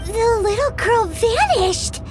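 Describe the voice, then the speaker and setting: A woman voicing a tiny, childlike, excitable companion in a fantasy game, with a squeaky, exclamatory delivery. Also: slow pace, heavily acted. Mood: startled. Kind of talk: cartoonish fantasy game acting. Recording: loud music behind.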